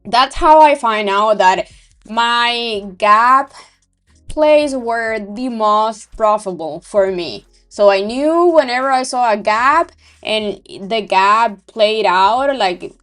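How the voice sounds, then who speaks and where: Slow-talking Venezuelan-accented woman. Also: medium pitch. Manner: relaxed, thinking aloud with fillers and trailing pauses. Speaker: day trading livestreamer. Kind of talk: casual live-stream chat.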